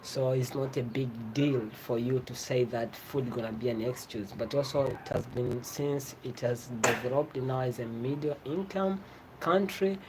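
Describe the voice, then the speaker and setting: A travel vlogger from Tanzania, a native Swahili speaker with a Tanzanian accent, explaining informally and often rephrasing in a halting way. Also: medium pitch. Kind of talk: informal explanatory vlog talk. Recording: clear background ambient noise.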